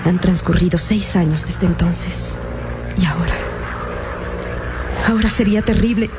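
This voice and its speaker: A woman's voice: on the low side, a little slow, lightly acted. An actress playing an earnest, worried young woman in a Latin American radio serial, with formal, melodramatic delivery. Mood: sorrowful. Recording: dramatic music behind the voice.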